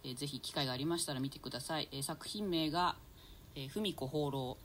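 A woman's voice: sits low, quick pace. A woman speaking as a guest actor, politely and formally introducing her work in an announcement, with noise behind her. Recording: clearly noisy background.

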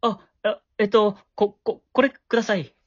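A man's voice: sits high, steady tone, moderate pace, dry recording, dull audio. A man acting a shy, awkward middle-school boy with a crush in an amateur voice drama, flustered and prone to stammering. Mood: flustered, eager.

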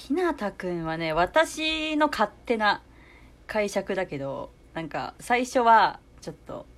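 A woman's voice: medium pitch, sing-song, slow pace, a bit bright. A young woman chatting casually with a friend, giggly and often chiming in with short agreement. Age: young adult. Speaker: co-host guest, amateur voice actor. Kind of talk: casual chat between friends.

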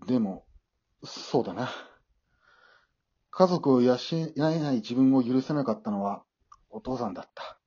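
A man's voice: mid-range voice, a little slow, pauses often, dark tone, husky, lo-fi sound. A man acting in an amateur radio drama as an awkward, remorseful middle-aged father, hesitant and easily flustered. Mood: remorseful, subdued.

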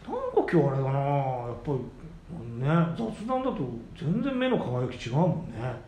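Animated, higher-voiced man bantering. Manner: animated, quick to retort and question his partner. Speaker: podcast co-host, the senior of the duo. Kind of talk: casual bantering chat. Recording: roomy echo, faint background noise.

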